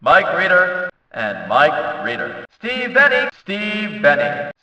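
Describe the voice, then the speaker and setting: A man playing an excitable, showy race announcer in a video game, calling out driver names in a drawn-out, booming delivery. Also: heavily acted. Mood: showy, hyped. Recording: echoey, reverberant, distant, slightly dull.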